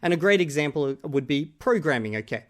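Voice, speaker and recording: A man reacting to another creator's video in casual, argumentative commentary, often pausing on "okay?" to drive his points home. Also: on the high side, lively intonation.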